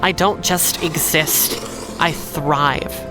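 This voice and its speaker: Slow, low-voiced defiant heroine. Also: female voice, fairly even intonation, music in the background. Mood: reflective, defiant. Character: wry, defiant working-class noir heroine telling her story. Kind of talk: first-person audio drama narration.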